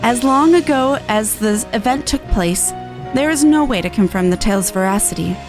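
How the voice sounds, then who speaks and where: A woman voicing a courteous, formal storyteller lady who recites old legends in a measured, archaic manner for a fantasy game. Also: fairly high pitch, animated, over music, close to an everyday voice. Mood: gracious.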